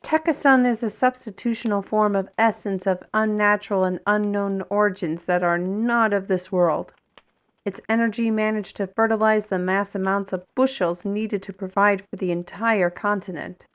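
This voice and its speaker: A woman's voice: mid-range voice, fairly even intonation, normal speed, clear, resonant tone, close to an everyday voice. Woman playing a wry, businesslike fantasy colleague. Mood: matter-of-fact explaining. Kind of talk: fantasy audio drama acting, expository.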